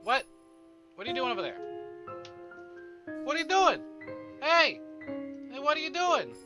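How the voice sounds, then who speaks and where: A man's voice: extremely high pitch, huge pitch swings, measured pace, music in the background. A man livestreaming a game, chatting casually in slang and swearing freely. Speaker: game streamer and host.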